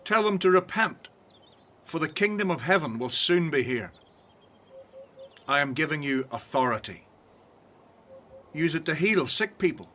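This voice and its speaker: Jesus sending out his disciples, speaking with solemn, measured authority in a radio play. His voice sits fairly high, with a bright, forceful edge and lively shifts in pitch. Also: a little hiss or hum, heavily acted. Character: Jesus, solemn, commanding male teacher. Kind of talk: radio drama acting.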